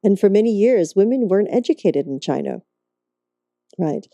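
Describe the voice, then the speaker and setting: A woman from Hong Kong hosting a wellness talk show, gracious and quick to laugh as she introduces and interviews her guest. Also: mid-range voice, expressive, vocal fry, dark tone, no room sound. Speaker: host.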